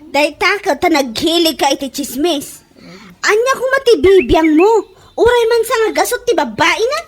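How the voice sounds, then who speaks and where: A woman's voice: very high-pitched, sing-song, moderate pace. A woman playing a nagging, exasperated wife in an Ilocano radio comedy drama, with exclamatory delivery and an Ilocano accent. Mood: scolding. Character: scolding, exasperated wife and gossiping neighbour woman.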